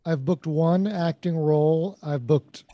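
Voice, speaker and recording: A man with a relaxing, soothing voice, chatting as a podcast guest with dry, deadpan humor. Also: fairly high pitch, unhurried, no room sound. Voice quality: soft, soothing, calm voice. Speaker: guest comedian. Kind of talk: casual podcast chat.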